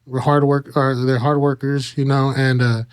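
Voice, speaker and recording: Male interview guest, thirties, American accent. Smooth voice, little pitch movement, halting casual storytelling with many filler pauses. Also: little pitch movement. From Kansas and Mexico. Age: 30s. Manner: halting, frequent "you know" pauses.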